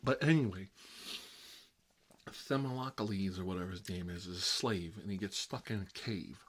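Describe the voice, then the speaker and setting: A man's voice: deep voice, normal speed. A man performing a chatty ASMR role-play as a fussy, petty grown man stuck babysitting, rambling on in a gossipy, petulant manner. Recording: clearly noisy recording with clicks.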